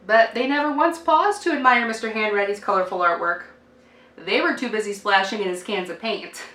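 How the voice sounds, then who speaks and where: A woman reading a children's picture book aloud like a teacher, with expressive, deliberate emphasis and a dramatic sing-song delivery. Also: medium pitch. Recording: roomy, distant microphone, faint noise.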